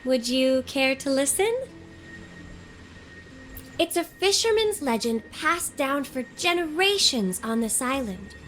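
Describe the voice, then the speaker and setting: High-voiced, unhurried young storyteller. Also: female voice, slight background noise, low-quality recording. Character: young woman, gentle, curious, earnest teller of legends. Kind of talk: video game voice acting, measured storytelling.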